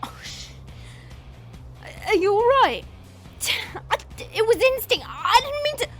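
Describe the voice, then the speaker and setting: Immortal female brawler, played by a woman: very high voice, lively swings, taunting and playful, faint music behind. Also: a little slow, full character voice. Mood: regretful, flustered. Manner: casual, taunting, slangy. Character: cocky, teasing immortal female fighter, playful yet reluctant to hurt. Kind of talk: dramatic audio roleplay acting.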